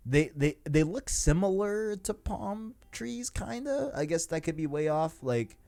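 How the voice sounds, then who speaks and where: A man's voice: fairly high pitch, lively intonation, a little slow, mellow. A man chatting informally and ramblingly as host of a gaming podcast, with slangy asides. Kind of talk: casual gaming podcast chat.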